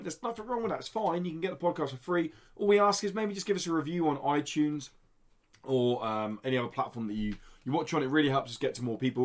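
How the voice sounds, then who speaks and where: A man hosting a podcast, chatting casually and addressing the listeners directly. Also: mid-range voice. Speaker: podcast host.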